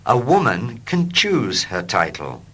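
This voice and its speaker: Slow, lively, bright-voiced male English teacher. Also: on the low side. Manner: slow, clear and expressive. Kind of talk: slow scripted reading for learners.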